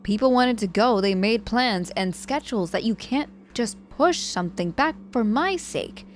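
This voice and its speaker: A woman acting a proud, guarded young witch hurt in hospital, halting and grudgingly tender, in an intimate ASMR audio roleplay. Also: on the high side, lively intonation, a little hiss or hum, lightly acted. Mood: flustered, insistent.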